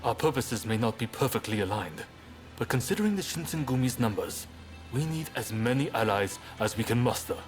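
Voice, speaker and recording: An actor playing a resolute, earnest samurai rebel leader in a dramatic video game cutscene. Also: male voice, medium pitch, lively intonation, brisk, faint music behind, natural voice. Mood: resolved, grave.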